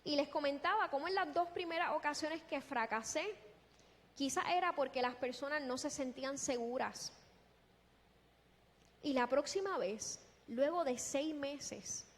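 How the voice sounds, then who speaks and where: A woman preaching a sermon in a deliberate, emphatic way, posing rhetorical questions. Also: sits high. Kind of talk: sermon with scripture exposition. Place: large echoing church hall. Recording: long reverberant hall sound.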